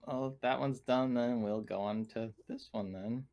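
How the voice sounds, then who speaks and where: A man's voice: mid-range voice, normal speed, darkish tone. A man playing a tabletop roleplaying game, talking casually and decisively as he directs the group.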